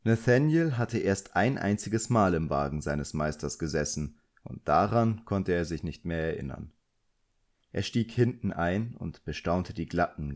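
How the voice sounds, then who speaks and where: A man with a low-pitched voice narrates an audiobook. He delivers scripted literary prose as a storyteller reading from the page. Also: a little mellow.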